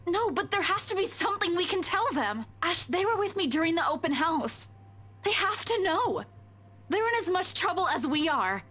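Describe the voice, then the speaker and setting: A woman's voice: very high voice, animated, moderate pace, fairly bright tone. A woman playing an anxious but determined young woman in a visual novel, hesitant and pleading. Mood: urgent, pleading. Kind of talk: dramatic visual novel voice acting.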